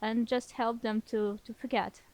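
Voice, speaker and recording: A woman guest speaking as a learned language, talking in a hesitant way with many pauses and restarts. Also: a little high, little pitch movement, darkish tone. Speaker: podcast guest. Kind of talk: casual interview talk.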